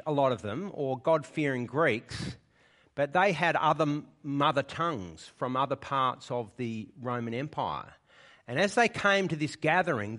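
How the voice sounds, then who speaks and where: A man in the pulpit preaching to his congregation, his voice sitting at an ordinary middle pitch. He explains a passage in an easy, conversational way. He keeps checking in with the people listening as he goes. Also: fairly bright tone. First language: English. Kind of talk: sermon. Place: church.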